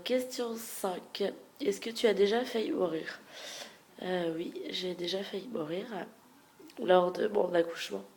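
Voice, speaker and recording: A young woman with a stuffy head cold and a nasal, congested voice, chatting frankly through a question-and-answer video and often trailing off into soft murmurs. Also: fairly low pitch, creaky. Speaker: beauty vlogger. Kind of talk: casual Q&A tag video.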